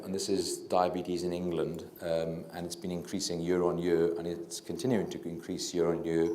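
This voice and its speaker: A middle-aged Welsh man, a physician giving a public talk into a handheld mic, hesitant with many fillers and restarts, and a self-described low talker. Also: dark tone. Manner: low-talking. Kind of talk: public lecture. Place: lecture room with audience. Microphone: handheld microphone. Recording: echoey lecture room.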